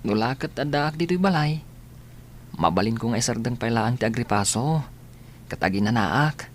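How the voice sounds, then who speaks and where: A man's voice: medium pitch, animated, normal speed, a little hiss or hum, close to an everyday voice. A man playing a dutiful, determined, sickly young student in a radio drama, speaking in an earnest, reassuring way. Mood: reassuring, grateful.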